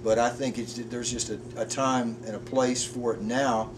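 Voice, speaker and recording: A man's voice: mid-range voice, level tone. A man in his fifties talking earnestly and reflectively in a casual porch conversation, often restarting his sentences. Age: 50s. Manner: earnest, halting, with frequent restarts. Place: outdoors on a front porch. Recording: distant mic with background noise.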